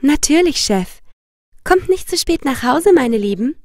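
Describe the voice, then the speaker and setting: A woman voicing a gentle, timid young princess in a fairy tale, polite and sweet. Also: sits high, expressive, measured pace, studio quality, voice pushed up. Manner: polite, hesitant. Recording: long reverb, music behind.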